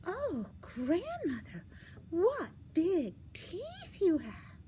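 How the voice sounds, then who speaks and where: A woman's voice: very high voice, huge pitch swings, drawn-out words, warm tone, slight background noise, pitched above the performer's own voice, exaggerated voice. A woman voicing an innocent, cheerful storybook little girl with a singsong, wide-eyed way of talking. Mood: wondering.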